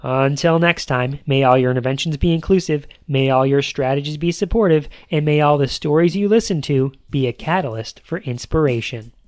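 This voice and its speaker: A man's voice: a little high, fairly quick, hardly any pauses, no room sound, studio quality. A man in his thirties hosting a podcast, reading scripted announcements in an upbeat, promotional way. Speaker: podcast host.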